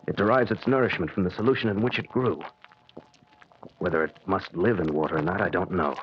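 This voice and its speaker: A brooding, obsessive middle-aged scientist, voiced by a man in an old radio horror play. His voice sits a bit low and turns strained and tense. The recording is muffled and dull with a faint hiss. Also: lightly acted. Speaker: father experimenting in basement. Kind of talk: 1940s radio drama acting.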